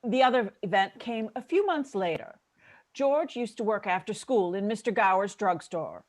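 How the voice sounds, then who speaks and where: A senior angel in charge played by a woman in an old-time radio drama. She instructs and tells stories with kindly authority, in a mid-pitched voice. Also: lively intonation, moderate pace, lightly acted. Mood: storytelling, instructive. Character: female superintendent of angels, brisk kindly authority. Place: remote video call recording.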